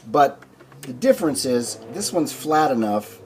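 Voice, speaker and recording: A man hosting a hands-on repair video, explaining things informally and thinking aloud with hesitant pauses. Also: mid-range voice, very animated, darkish tone, a little hiss or hum. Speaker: host of a record player restoration video. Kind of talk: casual hands-on demonstration.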